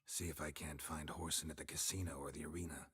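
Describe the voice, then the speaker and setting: An actor playing a terse, stoic male monster hunter in a game cutscene, with a gravelly, husky voice and flat, understated delivery. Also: a bass voice, fast, full character voice.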